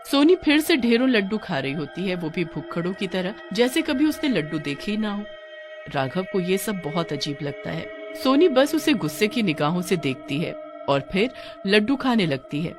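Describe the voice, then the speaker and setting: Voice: mid-range voice, big pitch swings, moderate pace, over music. A narrator reading a scripted horror story in a dramatic storytelling style.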